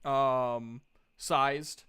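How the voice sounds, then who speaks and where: A man runs a tabletop game as game master, narrating off the cuff. His voice is clear and smooth, and he speaks slowly, stopping to search for words. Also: mid-range voice, steady tone, sharp tone.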